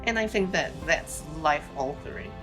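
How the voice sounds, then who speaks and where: A woman in her fifties from Singapore speaks in a low voice, earnest and measured, repeating words for emphasis. Music plays clearly beneath her speech. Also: animated. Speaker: award recipient. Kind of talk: recorded acceptance speech.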